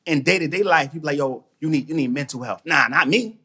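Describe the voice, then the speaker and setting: Animated New man, fairly high voice. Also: fast talker, husky. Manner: animated, slangy, repeats phrases. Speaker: podcast guest, mental toughness trainer. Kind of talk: casual podcast conversation.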